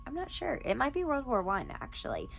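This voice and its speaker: A woman's voice: sits high, huge pitch swings, a little fast, creaky. A woman talking casually and enthusiastically, like a vlogger sharing recommendations with her viewers. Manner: enthusiastic, informal. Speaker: book reviewer and podcast host. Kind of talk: casual chatty book recommendation vlog.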